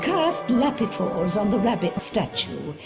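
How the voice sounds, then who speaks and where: A woman's voice: mid-range voice, sing-song, measured pace, vocal fry. A woman playing a formal, precise teacher at a magic school, giving instructions in a video game. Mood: formal, instructive. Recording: loud music under the voice.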